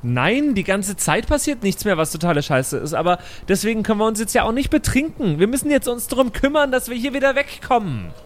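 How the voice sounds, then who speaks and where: Female role-play podcaster: high, lively intonation, argumentative, insistent, conversational. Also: brisk, hardly any pauses, slight background noise. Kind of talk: casual improvised in-character dialogue.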